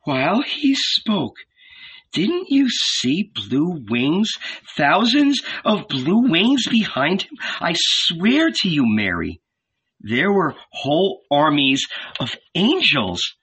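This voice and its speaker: A man's voice: high voice, wildly swooping pitch, takes it slowly, bright, forceful tone, slightly rough, pitched above the performer's own voice, heavily acted. Storybook old woman played by a man, raised voice, swooping emphasis, tender, awed and fervent. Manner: earnest, coaxing, emphatic.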